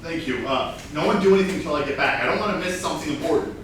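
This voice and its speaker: A man's voice: fairly high pitch, lively intonation, very fast, a bit bright. A man acting a touchy, self-important party guest in a staged comedy reading. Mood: indignant. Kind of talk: theatrical comedy play reading. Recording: very echoey room, distant mic, faint noise.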